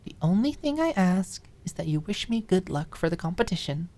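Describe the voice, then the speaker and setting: A woman voicing a bubbly, eager, affectionate young woman in an audiobook drama, reassuring and tripping over her words in excitement. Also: lowish voice, fairly quick, voice pushed up.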